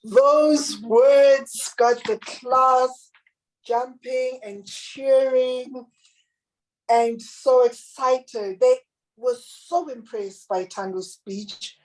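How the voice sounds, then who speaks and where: A woman with a deep, husky, raspy voice reading her own children's picture book aloud and chatting about it. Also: steady tone, rough recording. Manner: gentle, unhurried reading aloud with picture commentary. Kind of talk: children's storybook reading, then casual chat.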